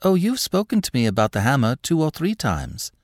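A man voicing a calm, shrewd young woman who questions gently and sympathetically. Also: medium pitch, fairly quick, dry recording, clean studio sound, voice pushed up, close to an everyday voice. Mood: calm, probing.

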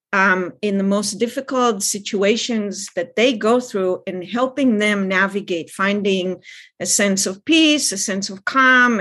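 A woman in her fifties from Israel, calmly answering interview questions as a child development expert. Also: medium pitch.

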